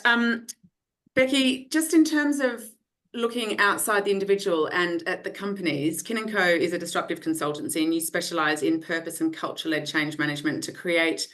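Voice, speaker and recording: Fast-talking woman hosting a webinar, lowish voice, video call. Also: a bit bright. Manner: brisk, summarising, leads into questions. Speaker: webinar moderator. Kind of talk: moderating a panel.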